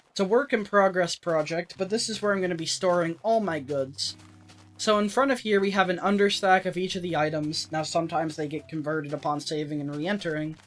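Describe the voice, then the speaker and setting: A streamer chatting casually through a let's-play, rambling with lots of filler words and getting a little raspy. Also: medium pitch. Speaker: streamer playing an old game version.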